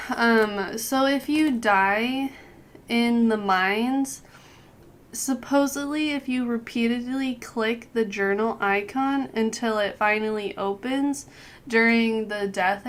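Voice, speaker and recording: Hoarse young woman, slow gaming guide. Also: on the high side. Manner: unhurried, clears throat often. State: hoarse from a cold. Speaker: gaming youtuber. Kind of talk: casual game guide voice-over.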